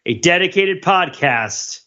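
A man's voice: high voice, expressive, slow pace, bright, forceful tone. Enthusiastic man chatting, slightly dull sound. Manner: enthusiastic, geeky. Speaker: podcast co-host. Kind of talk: casual pop culture chat.

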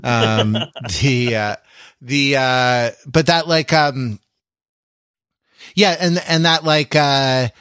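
A man on a podcast, thinking aloud with frequent "um"s and restarts before landing a point. His voice sits at a middle pitch, and the recording is dry and close with no room sound. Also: fairly even intonation, a bit bright, clear, resonant tone, dry recording. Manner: halting, many fillers and restarts. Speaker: podcast co-host. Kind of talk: casual podcast discussion.

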